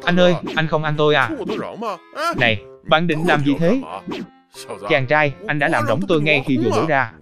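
Talking watermelon, voiced by a man: naive, protesting, fairly high, cartoon dub over music. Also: normal speed, long pauses, natural voice. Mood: indignant. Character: naive, indignant talking watermelon, easily fooled.

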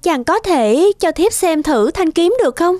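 Woman acting a very high, girlish young princess. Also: lively intonation, measured pace, heavy background noise. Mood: grateful, admiring. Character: young captive princess, sweet and defiant. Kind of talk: cartoon voice acting. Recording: music behind.

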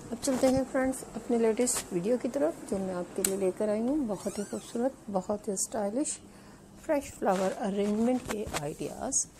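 A woman's voice: mid-range voice, normal speed, noisy background. A woman host giving a chatty, repetitive voice-over, addressing her viewers as friends. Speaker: video channel host.

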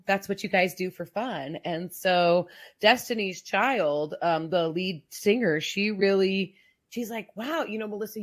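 Woman, very low voice, slow unhurried delivery, reflective, halting, podcast storytelling. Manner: reflective, halting, many fillers and restarts. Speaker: guest sharing her story. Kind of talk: personal storytelling in a podcast conversation.

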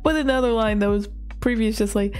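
A hoarse woman chatting casually as she pauses and reacts to a music video, full of fillers and giggles. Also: on the high side, a little mellow. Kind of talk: casual commentary. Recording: faint music behind.

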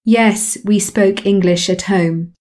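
A woman calmly repeating English model sentences in a slow, measured way for a lesson. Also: mid-range voice. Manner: calm, measured repetition of phrases. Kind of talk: slow scripted language drill.

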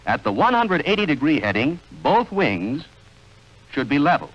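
A man reading scripted 1940s training film narration in a measured, instructional, clearly enunciated manner. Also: a little high, very animated. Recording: dull, band-limited vintage film soundtrack.

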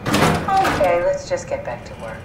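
A woman's voice: fairly low pitch, huge pitch swings, unhurried. Female scientist, businesslike, matter-of-fact, sci-fi game, echoey, machinery noise behind. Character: brisk, matter-of-fact female scientist companion, focused on mission. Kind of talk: video game voice acting. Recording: echoey, noisy machinery ambience.

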